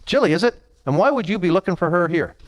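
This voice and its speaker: Man doing a sneering, high-pitched gangster boss, echoing. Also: animated, fast. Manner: sneering, mock-polite, slangy. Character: menacing, touchy, natty male gangster club boss. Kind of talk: 1940s radio gangster acting. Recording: echoing reverberant hall, off mic.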